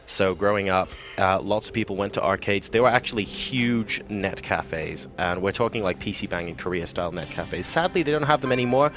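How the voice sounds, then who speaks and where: Chatty esports caster man, lowish voice. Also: animated, a bit bright. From Hong Kong. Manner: quick, chatty and enthusiastic, with frequent fillers. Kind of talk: casual interview answers. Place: busy esports event hall.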